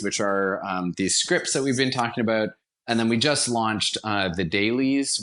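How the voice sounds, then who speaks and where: A man with a fairly low-pitched voice talks as a guest in a conversational interview. He explains his work as a director with evident enthusiasm. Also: fairly bright tone. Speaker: podcast guest, radio theatre director.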